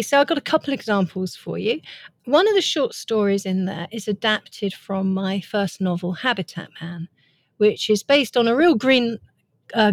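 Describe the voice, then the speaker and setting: A woman's voice: mid-range voice, no echo. A woman, speaking as an author being interviewed, explaining ideas in an earnest, articulate way. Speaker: author and guest.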